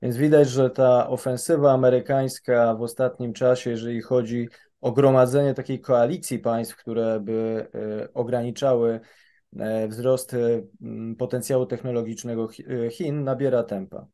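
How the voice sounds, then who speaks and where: A man in his thirties gives careful expert commentary, often pausing with fillers and restarting his sentences. His voice is mellow and dark-toned, sitting at an ordinary pitch. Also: little pitch movement. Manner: hesitant, many fillers and restarts. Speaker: policy analyst guest.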